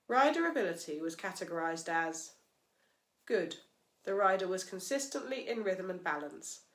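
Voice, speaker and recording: A woman reads scripted narration in a measured, formal manner. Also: lowish voice. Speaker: host and narrator, physiotherapist. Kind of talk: scripted narration, read aloud. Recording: echoey, roomy sound.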